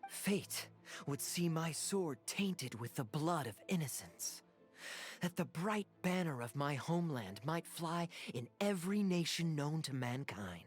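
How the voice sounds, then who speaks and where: An actor playing a suave young swordsman in a fantasy game, with a grandiose, measured, theatrical delivery. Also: a little high, lively intonation, faint music behind. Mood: defiant. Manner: grandiose, measured, dramatic pauses. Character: suave young swordsman acting a noble tragic stage prince. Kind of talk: theatrical stage-play declamation in a fantasy video game.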